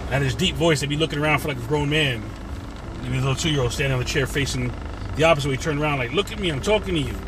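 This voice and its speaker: A deep-voiced man in his forties talks through a loose, rambling solo podcast recorded in his car. He sits a little higher in pitch than most men. Road and engine noise hum clearly underneath. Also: racing. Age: 40s. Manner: rambling, goes off on tangents, conversational. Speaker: podcast host. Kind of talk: casual solo podcast monologue.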